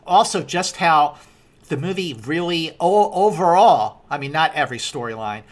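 A woman hosting a casual film podcast, arguing in a halting but insistent way. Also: deep voice, lively intonation. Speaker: podcast host. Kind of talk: casual movie debate.

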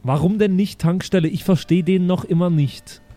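A man plays a naive, chatty son from the future in an improvised radio play. His voice is on the high side and soft-edged, and he talks in childlike slang with odd verbal tics, earnest and slow on the uptake. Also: dry recording.

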